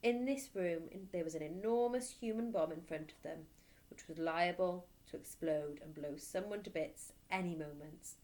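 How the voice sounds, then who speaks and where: A woman teacher reading a children's storybook aloud with expressive narration. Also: on the low side, moderate pace.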